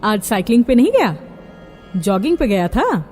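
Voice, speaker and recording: A woman's voice: on the high side, sing-song, normal speed, a little mellow, breathy edge, soft background music. A woman playing an inquisitive mother talking conversationally to her grown son in an audio horror story, with long reverb. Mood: questioning.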